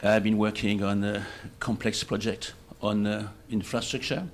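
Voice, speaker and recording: An older man with a French accent addresses a conference in a fairly low voice. He introduces his work haltingly, phrase by phrase, over faint background noise. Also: flat delivery. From France. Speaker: conference speaker, organization official. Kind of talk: self-introduction speech at a conference. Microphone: livestream microphone in meeting room.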